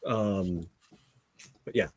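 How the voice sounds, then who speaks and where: A man's voice: low voice, drawn-out words, a little mellow, husky, dry recording. Man hosting a casual chat, dry sound. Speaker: host of a fan discussion show. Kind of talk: casual panel chat about a TV show.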